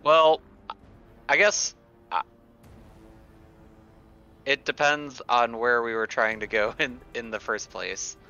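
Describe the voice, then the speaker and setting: A man's voice: mid-range voice, fairly even intonation, takes it slowly, long pauses, bright, forceful tone, muffled recording, faint music behind. A man chatting casually as a player at a tabletop game, tentatively thinking aloud.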